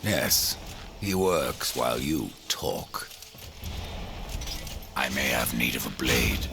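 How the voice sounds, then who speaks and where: Sardonic game horseman of doom; extremely low, heavy gravel, dry menace with swooping inflection. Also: animated, takes it slowly, exaggerated voice. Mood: curt, dry. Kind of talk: dramatic video game acting. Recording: loud music behind the voice.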